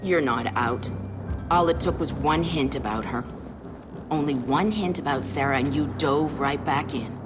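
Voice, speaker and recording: A woman's voice: very deep voice, normal speed, music in the background. A woman playing an urgent, steely intelligence mole in a video game, with an American accent. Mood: insistent, goading.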